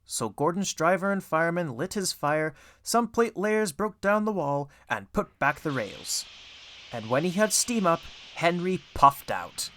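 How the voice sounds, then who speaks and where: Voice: mid-range voice, animated, measured pace, fairly bright tone. A storyteller reading a children's audiobook in a scripted narration style.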